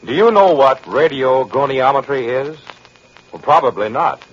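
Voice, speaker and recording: Man, vintage radio announcer, persuasive ad read, lively pitch, husky, dull old broadcast sound. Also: normal speed. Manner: earnest, persuasive. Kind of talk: scripted commercial announcement. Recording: muffled 1940s radio broadcast.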